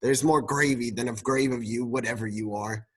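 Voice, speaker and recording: A man playing a grumpy, scornful old miser of a businessman in a radio play, with a curt, husky voice. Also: mid-range voice, fairly even intonation, normal speed, noisy background, natural voice. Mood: shaken, defiant.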